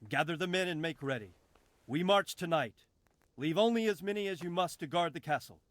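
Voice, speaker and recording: Man playing a nobleman, authoritative, decisive, slightly high pitch. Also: animated, brisk, dry recording, natural voice. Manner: authoritative, giving orders. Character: commanding middle-aged lord, decisive. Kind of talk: video game cutscene acting.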